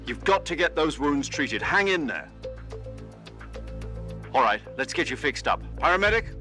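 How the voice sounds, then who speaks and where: Commanding officer, animated delivery. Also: male voice, on the high side, unhurried, faint music behind, exaggerated voice. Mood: urgent concern. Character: commanding male military officer on radio, steady, concerned. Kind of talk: dramatic video game acting.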